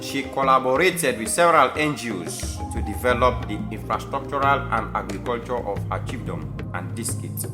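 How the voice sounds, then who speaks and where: Young man narrating over music. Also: medium pitch. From Sierra Leone. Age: young adult. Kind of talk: scripted biographical narration for an audio drama.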